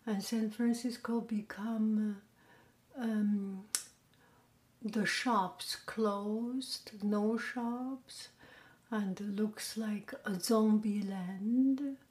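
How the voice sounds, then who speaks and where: Slow, half-whispering woman, roomy sound. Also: mid-range voice. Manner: gentle, half-whispered, relaxed delivery. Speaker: podcast host. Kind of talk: soft, slow casual chat. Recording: roomy echo with faint noise.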